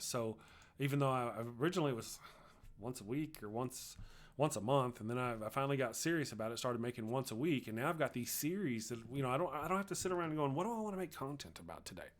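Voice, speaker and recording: A man's voice: mid-range voice, lively intonation, quick pace. A middle-aged man with a Texas accent, hosting a casual livestream and chatting loosely with viewers, rambling with frequent restarts. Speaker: livestream host. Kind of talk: casual livestream chat, reading viewer comments.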